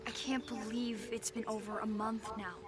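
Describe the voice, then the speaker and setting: Here is an earnest, soft-spoken young girl from a video game, played by a woman. She is determined to find her missing cousin, and her voice sits a little high. Loud game music plays under every line. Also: level tone, normal speed, natural voice.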